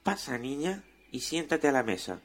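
A man's voice: medium pitch, big pitch swings, normal speed, pitched above the performer's own voice. Man doing a kindly old mouse. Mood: welcoming.

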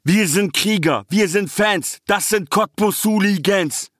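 A man's voice: high-pitched, measured pace, no echo, studio quality, voice pushed up. Man acting an angry far-right agitator. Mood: chanting, aggressive. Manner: forceful, agitating, chanting slogans. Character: angry far-right male singer rallying a crowd.

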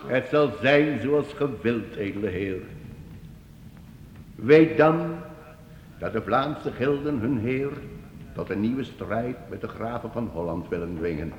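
An actor playing a grandiloquent wandering minstrel in a medieval radio drama, declaiming with flowery deference. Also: male voice, mid-range voice, lively intonation, slow pace, muffled recording. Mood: deferential, solemn. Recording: echoey, noisy old radio play recording.

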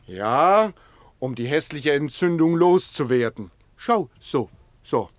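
A timid, soft-hearted fellow in a radio play, with a fairly high voice that swoops up and down. He draws his words out slowly and coos in silly rhyming baby talk, coaxing as if to a big pet. The sound is dry and close. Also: expressive. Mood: delighted, doting. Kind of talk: theatrical radio play acting.

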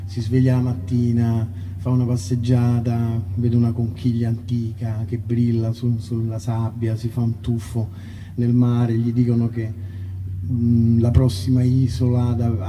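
A man, a singer-songwriter, informally introducing songs at a live concert in a rambling, halting way that often breaks off mid-thought. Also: mid-range voice, mellow, far from the mic, a little hiss or hum, rough recording. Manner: rambling, halting, self-interrupting. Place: outdoor festival concert in a nature park. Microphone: stage microphone through amplification.

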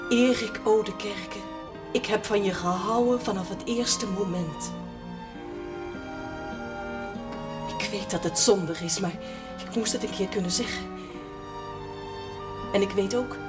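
A woman's voice: mid-range voice, lightly acted. An actress playing a resolute, earnest village woman in a television period drama, speaking solemnly and directly in short statements. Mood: aching, earnest. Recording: distant microphone, music behind, 1970s television.

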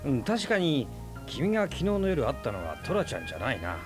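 A man's voice: medium pitch, huge pitch swings, a little fast. An actor playing a dry, logical middle-aged man in a radio drama, talking in a matter-of-fact, reasoning way. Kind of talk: 1990s radio drama acting. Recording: music bed under the dialogue.

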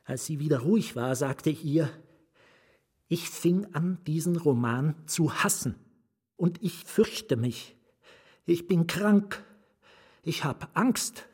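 Husky, haunted novelist, slow and breathy. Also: male voice, a little high, lively intonation, a little mellow, full character voice. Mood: haunted, intense, then anguished, fearful. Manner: deliberate, dropping into whispers. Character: anguished, fearful male novelist recounting his ruin. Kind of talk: literary radio drama first-person narration.

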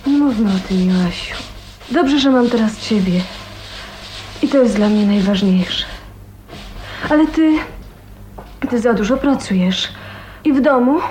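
An actress playing a gentle, resigned, ailing young woman in a radio drama, hesitant and soft-hearted. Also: a little high, lively intonation, measured pace, long pauses, a little hiss or hum, poor audio. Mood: frail, caring. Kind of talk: Polish radio drama acting.

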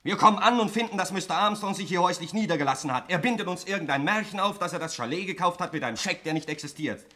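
A man's voice: high-pitched, expressive, fast, hardly any pauses, pushed and bright, full character voice. An actor playing a sardonic, menacing nephew in a 1960s radio drama, needling and commanding as he holds a suspect at gunpoint. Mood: heated, accusing. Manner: mocking, commanding, needling address.

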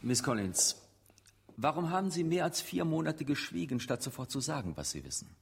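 A man's voice: fairly high pitch, very animated, normal speed, vocal fry, breathy edge, heavily acted. An actor in a radio drama playing a sceptical, insistent male defence lawyer with a formal, pointed way of speaking. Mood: sceptical, probing. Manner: pointed, incredulous courtroom questioning. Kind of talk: German radio drama acting.

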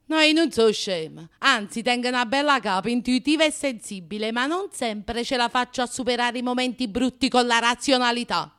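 A woman's voice: on the high side, moderate pace, hardly any pauses, a bit bright, clear, resonant tone, natural voice. An actress playing a flamboyant, passionate, self-mocking Neapolitan woman in a radio monologue, with a confiding, emphatic and colloquial manner and a Neapolitan accent. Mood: wry, exasperated. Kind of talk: radio drama comic monologue.

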